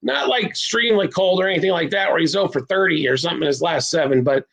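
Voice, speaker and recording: A man's voice: on the high side, fast, creaky, fairly bright tone. A man from the American Midwest hosting a sports betting show, rambling bluntly through picks with self-deprecating asides. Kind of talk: casual sports betting talk.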